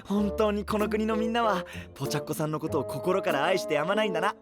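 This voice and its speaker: A man's voice: high-pitched, quick pace, over loud music, lightly acted. A man playing a frank, cheerful and honest young knight in an anime voice drama, with a casual, exuberant manner. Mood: delighted. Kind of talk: Japanese anime voice drama acting.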